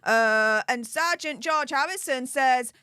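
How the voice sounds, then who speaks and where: A woman's voice: high voice, slow, bright, forceful tone, clean tone, dry recording. A woman with a Yorkshire accent hosting a live stream, reading out donations with perky, gushing enthusiasm. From Yorkshire, England.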